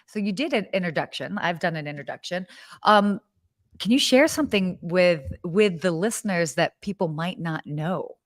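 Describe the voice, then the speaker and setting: A woman's voice: lowish voice, animated, moderate pace, no echo, studio quality. A woman in her thirties from the United States, co-hosting a live hospitality show in a bubbly, chatty way with lots of fillers and restarts. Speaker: podcast co-host. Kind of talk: casual live-show chat.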